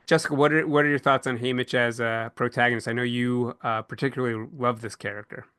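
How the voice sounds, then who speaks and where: Bright-voiced man hosting a panel discussion. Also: medium pitch, fairly even intonation, fast talker, clear, resonant tone, dry recording, studio quality. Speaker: podcast host and panel moderator.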